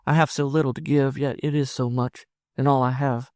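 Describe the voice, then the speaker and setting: A man voicing an anxious, tender young woman in love in an audiobook, soft, hesitant and pleading. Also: mid-range voice, flat delivery, a little fast, no echo, studio quality, voice pushed up, natural voice. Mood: tender, doubting. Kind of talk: audiobook character dialogue.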